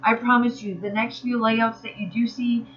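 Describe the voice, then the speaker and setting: A woman narrates her craft video in a relaxed, rambling way, with fillers and quick restarts. Her voice sounds a little nasal from allergies. The home recording is somewhat dull and muffled. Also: a little high, a little hiss or hum. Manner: chatty, rambling, with fillers and self-corrections. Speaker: craft channel host. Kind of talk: casual voice-over narrating a crafting process video.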